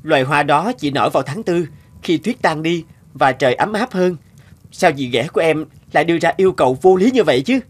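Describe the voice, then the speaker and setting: A man voicing a kindly, protective young month spirit in a cartoon, gentle and reassuring. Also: on the high side, expressive, brisk, slightly rough. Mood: concerned. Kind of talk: cartoon voice acting. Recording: background music under the voice.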